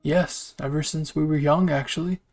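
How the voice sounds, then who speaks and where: A man's voice: on the high side, steady tone, normal speed, soft background music. Man reading an earnest teenage boy soldier.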